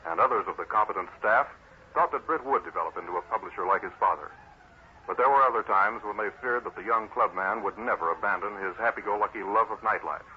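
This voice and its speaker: A man narrating a 1940s-style radio serial as a dramatic, declamatory announcer. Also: on the low side. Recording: noisy, muffled old radio recording.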